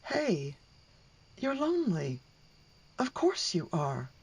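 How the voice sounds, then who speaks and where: A woman's voice: lowish voice, huge pitch swings, takes it slowly, darkish tone, breathy edge, no echo, heavily acted. A woman playing a hurt, sarcastic middle-aged wife and mother in a radio play, speaking with open emotion. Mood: softening.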